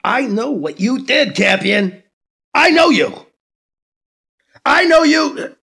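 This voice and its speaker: A man voicing a furious male politician ranting and shouting accusations on a TV show. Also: very high-pitched, very animated, slow pace, creaky, husky, pitched above the performer's own voice, exaggerated voice.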